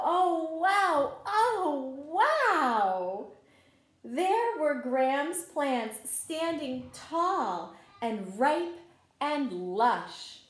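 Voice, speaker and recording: A woman teacher reading a picture book aloud to young children, expressive and encouraging, often turning to the listeners with questions. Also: high voice. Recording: roomy, echoing room sound.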